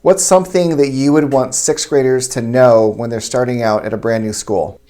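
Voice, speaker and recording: A man's voice: medium pitch, fairly even intonation, moderate pace, warm tone. A man speaking as a school principal, hosting an interview and putting questions in a semi-formal way.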